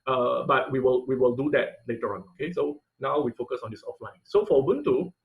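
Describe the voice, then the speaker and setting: A man teaching an online class in an informal, halting tutorial style, often checking in with listeners. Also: mid-range voice, creaky, narrow, tinny audio. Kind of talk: informal tutorial with live demonstration. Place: online video call class.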